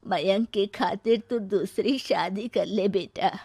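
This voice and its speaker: A woman storyteller voicing a gentle, resigned older mother who consoles slowly. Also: mid-range voice, breathy edge, no room sound, clean studio sound. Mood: sorrowful advice.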